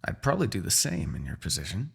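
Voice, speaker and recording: A man's voice: low voice, huge pitch swings, fast, gravelly, slightly rough, heavily acted. A man playing a proud, bitter, centuries-old demon king in a fantasy audio roleplay, menacing, theatrical and sardonic. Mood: wry, musing.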